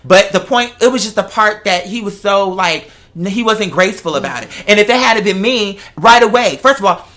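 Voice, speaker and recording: A bright, forward voice with a middling pitch. The host talks in an opinionated, conversational way, leaning hard on points and repeating phrases to drive them home. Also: animated, fairly quick, hardly any pauses. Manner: emphatic, repeats phrases for stress.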